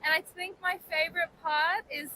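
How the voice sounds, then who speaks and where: A woman's voice: very high voice, fairly even intonation, normal speed, sharp tone, low-quality recording. A young woman from Australia on a student exchange, speaking casually and upbeat with many fillers. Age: young adult. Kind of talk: casual street interview. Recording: faint street noise with passing vehicles.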